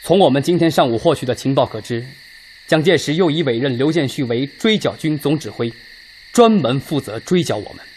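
A man playing an earnest, resolute Red Army commander in a radio drama, speaking in a measured, declamatory way. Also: mid-range voice, lively intonation, darkish tone, slight background noise, natural voice. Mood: grave, analytical. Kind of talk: Chinese radio drama acting.

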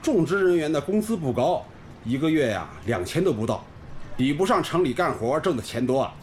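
An actor playing an easygoing, obliging village delivery driver in a radio drama, folksy and jovial. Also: male voice, on the high side, very animated, brisk, slight background noise. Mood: helpful. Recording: faint outdoor vehicle ambience behind.

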